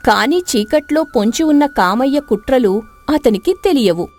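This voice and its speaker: A woman's voice: sits high, animated, moderate pace, darkish tone, faint music behind. A woman narrating a scripted story in a dramatic storytelling style.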